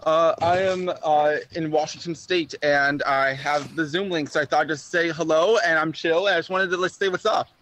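A man's voice: on the high side, steady tone, moderate pace, hardly any pauses, fairly bright tone, slight background noise. A man chatting casually as a caller. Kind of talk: casual chat.

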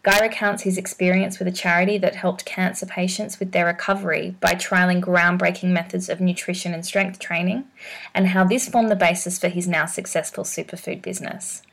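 A woman's voice: fairly low pitch, level tone, brisk, vocal fry, a bit bright. A woman hosting a podcast, reading an enthusiastic scripted intro. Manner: upbeat, enthusiastic. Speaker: podcast host.

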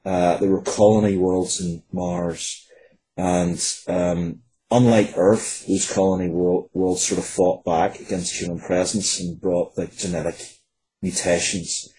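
A man's voice: low voice, flat delivery, unhurried, mellow, slight background noise, rough recording. A man speaking as an interview guest, explaining his work earnestly and wordily, with hesitant phrasing.

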